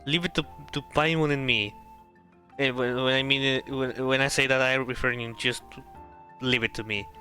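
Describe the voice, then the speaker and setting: A man playing a video game on stream, commenting in a silly, jokey, halting way. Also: mid-range voice, steady tone, bright, forceful tone, soft background music. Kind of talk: casual playful game commentary and dialogue reading.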